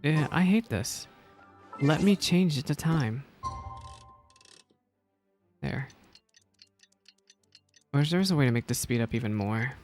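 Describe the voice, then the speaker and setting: A man's voice: mid-range voice, lively intonation, slow pace, long pauses. A man streaming a game and giving casual, rambling reaction commentary. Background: soft video game music.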